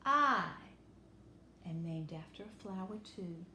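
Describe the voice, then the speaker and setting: A woman voicing a kind, dreamy storybook music teacher with a deliberate, emphatic, drawn-out delivery. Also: fairly low pitch, lively intonation, sharp tone, full character voice. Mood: proud, gentle. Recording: roomy echo, faint background noise.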